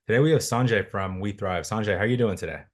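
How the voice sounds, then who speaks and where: Low-voiced male webinar host, casual moderator with fillers, on video call. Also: fast talker. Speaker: webinar host, content marketing director. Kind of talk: hosting a webinar.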